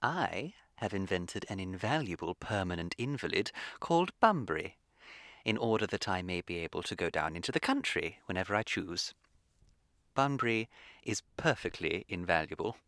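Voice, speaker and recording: A witty young English gentleman of leisure in a comic radio play. His voice is low and swoops freely through arch, languid, precisely placed phrases. The sound is close and dry. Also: very animated, moderate pace, no room sound, heavily acted. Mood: smug, witty.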